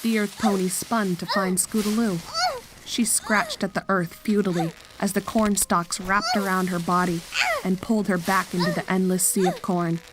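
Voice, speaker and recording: A woman's voice: medium pitch, fairly even intonation, normal speed, very noisy. A woman narrator reading a scripted horror story.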